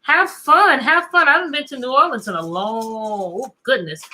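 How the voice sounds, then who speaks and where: A woman teacher hosting an interactive read-aloud for children, talking in an animated way and putting questions to her young listeners. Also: high voice, normal speed. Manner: animated, asking listeners questions and repeating them.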